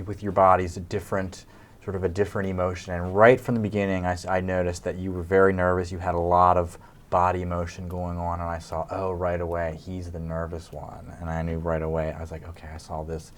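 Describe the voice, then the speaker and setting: A man's voice: low-pitched, flat delivery, brisk. A man co-hosting a studio interview, making observations and putting questions to guests. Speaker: co-host interviewer.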